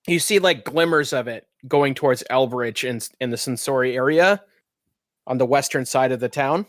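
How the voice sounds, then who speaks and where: A man's voice: mid-range voice, normal speed, no echo, clean studio sound. A man acting as game master, casually describing scenes and rulings to players in a tabletop roleplaying game.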